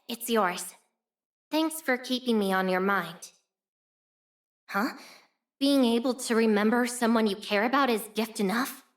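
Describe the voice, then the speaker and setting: A woman's voice: on the high side, natural voice. A woman voicing a proud, blunt young female fire warrior for a video game, with cool, cocky, nonchalant delivery. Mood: reflective, blunt. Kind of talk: scripted game character voice lines.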